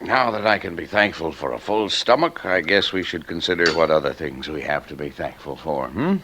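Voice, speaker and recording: A man's voice: deep voice, bright, forceful tone, a little hiss or hum. An actor playing a middle-aged father in an old radio drama, genial and mock-formal, firm when pestered. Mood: contented, teasing. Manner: measured, jocular, mock-formal, sternly paternal. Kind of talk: 1940s-50s radio drama acting.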